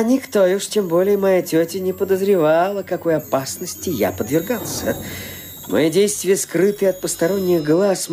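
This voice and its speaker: An actor playing a scheming young heir who gloats to himself in a radio play. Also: male voice, on the high side, warm tone, lightly acted. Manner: shifts quickly between sly asides and fawning politeness. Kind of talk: Soviet radio play acting.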